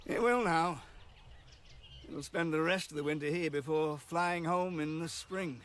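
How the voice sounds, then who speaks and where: Slow, high-voiced, wary reclusive man. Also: fairly bright tone, a little hiss or hum. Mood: gentle, soothing. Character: reclusive, wary bird-loving man, gentle but guarded. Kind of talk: naturalistic radio drama acting.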